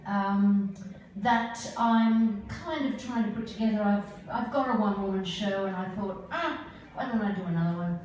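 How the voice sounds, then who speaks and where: A woman's voice: medium pitch, moderate pace, hardly any pauses, a little mellow, a little hiss or hum. An older woman talking casually and hesitantly as she introduces her live performance, in an informal, rambling way. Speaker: solo performer, writer. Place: live performance venue, indoors. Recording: echoey live venue, far from mic.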